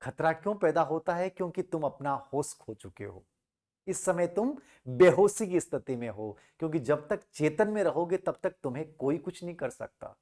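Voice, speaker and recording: A man's voice: a little high, expressive, moderate pace, darkish tone. A man telling a moral story as a teacher, emphatic, repeating phrases and asking rhetorical questions. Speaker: teacher and motivational speaker.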